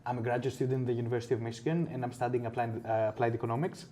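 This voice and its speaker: A man, chatting in a friendly, relaxed way during an English speaking test. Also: mid-range voice, racing, slight background noise. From Greece. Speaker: graduate student, test candidate. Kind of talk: exam conversation.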